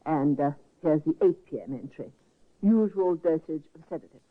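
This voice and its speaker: An actress playing a composed nurse accused of murder, speaking coolly and precisely in a 1950s radio drama. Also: female voice, deep voice, lively intonation, normal speed. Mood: guarded calm. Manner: cool, precise. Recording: tinny old radio broadcast.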